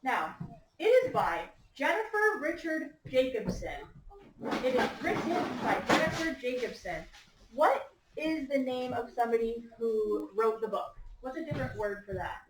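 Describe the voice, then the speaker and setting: Female primary teacher for read-aloud, patient and instructive, slightly high pitch, recorded at a distance in an echoey room. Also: animated, normal speed, slight background noise. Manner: patient, prompting with questions and gentle instructions. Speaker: teacher reading aloud to young children. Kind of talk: interactive picture-book read-aloud to a class. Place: echoey indoor room with young children.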